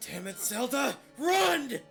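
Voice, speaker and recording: A man's voice: extremely high pitch, sing-song, slow, vocal fry, heavily acted. A man voicing a wounded, protective young male swordsman who speaks in an urgent, strained way in a fan audio drama. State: injured and out of breath. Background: music.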